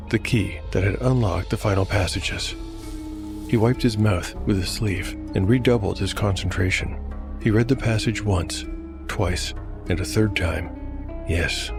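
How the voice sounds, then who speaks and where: A man reading scripted dark fantasy narration in a measured storytelling manner. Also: sits low, vocal fry. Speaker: narrator and host. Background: music.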